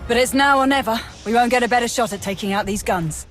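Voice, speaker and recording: An actress playing a brisk, sardonic female military officer and pilot in a video game, informal and practical, over music. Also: a little high, a bit bright, close to an everyday voice. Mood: exasperated, practical. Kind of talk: video game cutscene acting.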